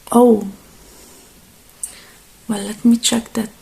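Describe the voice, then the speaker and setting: A woman doing a gentle, unhurried ASMR roleplay, speaking softly and slipping into breathy whispers close to the microphone. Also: a little high, little pitch movement. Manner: gentle, unhurried, murmuring to the listener. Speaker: ASMR creator playing a robot repair technician.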